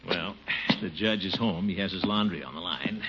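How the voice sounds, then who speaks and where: An actor playing a pompous, easily flustered middle-aged uncle in a 1950s radio comedy, blustering and muttering asides. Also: male voice, low-pitched, moderate pace, music in the background, close to an everyday voice. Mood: muttering, scheming. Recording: muffled, noisy old radio recording.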